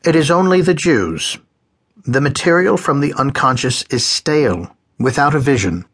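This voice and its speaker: A man's voice: medium pitch, lively intonation, normal speed, a bit bright, pitched above the performer's own voice. A man voicing an elderly male psychiatrist, who speaks in a cold, emphatic lecturing way, raising his voice above his own. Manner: pronouncing, emphatic.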